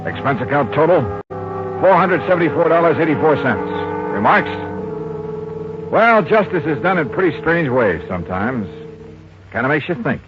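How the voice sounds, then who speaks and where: An actor playing a shrewd, fearless American insurance investigator in a 1950s radio drama, speaking in a clipped, wry, assured way. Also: male voice, on the high side, very animated, moderate pace, pauses often, over music. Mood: dry, wry. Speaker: lead actor and narrator. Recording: muffled, noisy 1950s radio recording.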